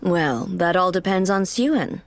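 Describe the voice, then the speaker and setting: A woman's voice: lowish voice, animated, measured pace, dry recording. A woman playing a wry, unflappable female operative in a game, with dry composure. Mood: wry, resigned. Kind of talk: dubbed game cutscene voice acting.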